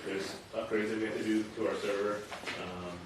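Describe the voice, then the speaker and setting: A man explaining items as a town official at an informal meeting, speaking in a halting, hesitant way. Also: lowish voice, little pitch movement. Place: indoor meeting room. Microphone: distant room microphone. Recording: very echoey, distant, loud background noise.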